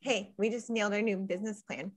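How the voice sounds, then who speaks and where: A woman's voice: medium pitch, steady tone, a little fast. A woman co-hosting a casual podcast, joking sarcastically and getting defensive about how she talks. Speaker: podcast co-host. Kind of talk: casual drunk chat.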